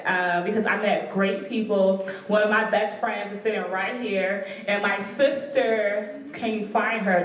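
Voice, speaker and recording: A young woman gives an informal personal testimonial to an audience, conversational and often quoting exchanges. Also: medium pitch. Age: young adult. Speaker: law student ambassador speaker. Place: large indoor lecture hall, speaking into handheld microphone. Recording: echoey hall, distant mic, faint room noise.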